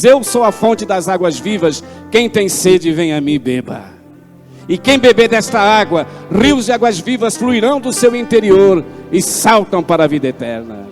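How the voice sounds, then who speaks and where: A man, preaching a sermon with fervent swings between loud declaiming and low asides. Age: 60s. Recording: long hall reverb, faint instrument music behind.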